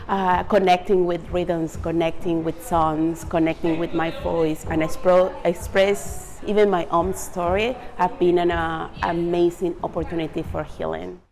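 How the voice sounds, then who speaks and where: A woman's voice: on the low side, fairly even intonation, unhurried, a little mellow. A woman performer speaking in a searching, hesitant way about her work in a documentary interview. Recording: reverberant with faint music.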